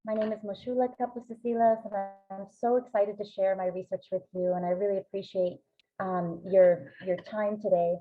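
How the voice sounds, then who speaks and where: A woman's voice: medium pitch, fairly even intonation, moderate pace. A woman whose first language is Greek, giving an academic webinar talk in an earnest way with frequent ums. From Greek American family. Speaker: presenting researcher. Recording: tinny phone-like line.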